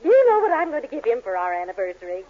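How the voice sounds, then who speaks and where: A woman's voice: on the high side, wildly swooping pitch, racing. An actress playing a vain, domineering middle-aged mother in a 1940s radio comedy. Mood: gushing. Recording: tinny, phone-like 1940s radio recording.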